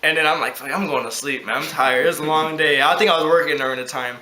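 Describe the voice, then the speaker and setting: A young American man with a high, bright voice tells a casual story as a vlog co-host. His delivery is excitable and slangy, with laughter running through it. The room adds a clear echo. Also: fast talker. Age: young adult. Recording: echoey room sound.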